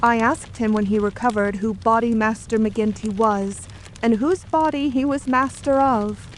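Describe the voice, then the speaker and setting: A woman acting out a composed, guarded wife in a period mystery audio drama. Her voice sits fairly high, and she speaks in a measured, earnest, formal way over some background noise. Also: close to an everyday voice. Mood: grave, guarded.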